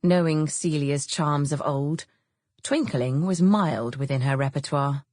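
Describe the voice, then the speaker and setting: An English woman narrates a novel with expressive, scripted delivery. Her voice sits low and has a husky grain. The studio sound is close and dry. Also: measured pace, no room sound. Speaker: audiobook narrator.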